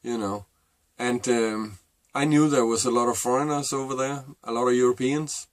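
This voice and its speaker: A middle-aged man with a Danish accent, a native Danish speaker, telling a personal story to camera in a halting, reflective way. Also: medium pitch, steady tone, no room sound. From Denmark. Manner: halting and reflective, with many fillers and restarts. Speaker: vlogger.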